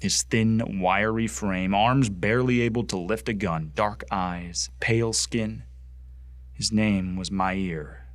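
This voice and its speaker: An actor playing a bitter, zealous young Martian recruit in a science fiction radio play, speaking with earnest, deliberate contempt. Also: male voice, on the low side, dry recording. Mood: solemn, reverent. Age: young adult. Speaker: actor in an audio drama.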